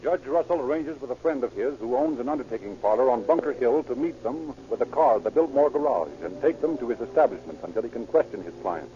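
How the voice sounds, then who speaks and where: An American man narrating an old radio crime drama in a grave, measured, suspenseful style. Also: mid-range voice, animated, a little hiss or hum, natural voice. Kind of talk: dramatic scripted radio narration, present tense. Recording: muffled old radio recording, music behind.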